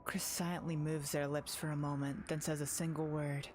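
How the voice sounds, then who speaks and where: A woman's voice: low-pitched, little pitch movement, moderate pace, a little mellow, a little hiss or hum. A woman reading dramatic first-person narration from a visual novel as a voice-over. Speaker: voice-over reader.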